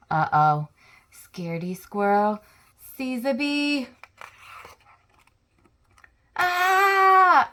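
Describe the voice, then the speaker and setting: A young woman reads a children's picture book aloud at an unhurried, careful pace. Her voice sits at an ordinary middle pitch and swings with expressive emphasis, and she adds friendly asides for young listeners.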